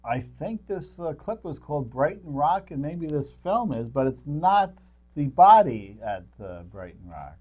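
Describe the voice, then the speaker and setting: A man co-hosting a movie show with casual, somewhat halting talk, feeling for his words. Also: on the high side, very animated. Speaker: co-host of a movie review show. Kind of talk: casual unscripted movie chat. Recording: slightly dull, lo-fi sound.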